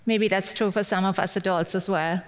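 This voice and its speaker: A woman's voice: medium pitch, fairly even intonation, fairly quick. A woman in her forties from Germany with a German accent, giving a chatty, encouraging Mother's Day sermon as a guest speaker. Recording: long hall reverb.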